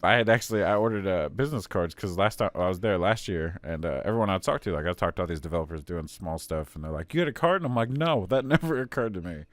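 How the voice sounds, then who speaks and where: A man co-hosting a podcast in casual chat, animated and laughing often, with a voice others praise as fit for voiceover. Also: deep voice, fast talker, hardly any pauses, no echo. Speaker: podcast co-host.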